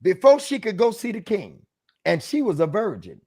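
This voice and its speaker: A man's voice: high-pitched, wildly swooping pitch, normal speed, a little mellow, husky. A man as a guest author giving emphatic, sermon-like advice, calling out to the audience with rhetorical questions.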